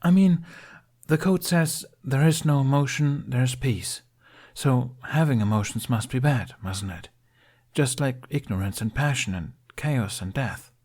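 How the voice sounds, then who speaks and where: A man's voice: medium pitch, measured pace, a little mellow, voice pushed up, natural voice. A man voicing an earnest, troubled sixteen-year-old male apprentice in an audiobook, polite, deferential and hesitant. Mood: troubled, questioning. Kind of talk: audiobook character voice.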